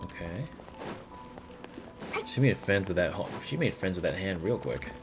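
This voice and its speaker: A low-pitched man does livestream game commentary. He drifts between casual, amused asides and reading game text out loud, with clear game music playing behind him. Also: expressive, unhurried. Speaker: game streamer.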